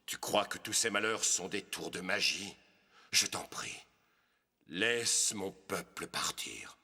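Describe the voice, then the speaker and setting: A man voicing a resolute, solemn prophet in an animated film, speaking gravely and earnestly for his people. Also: deep voice, slow, exaggerated voice. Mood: grave warning.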